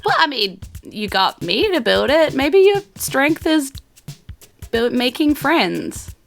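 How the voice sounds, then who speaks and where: A woman's voice: sits high, very animated, slow, creaky, faint music behind, voice pushed up, exaggerated voice. A woman playing a young adventurer's supportive, blunt friend in a casual, encouraging, slightly exasperated way.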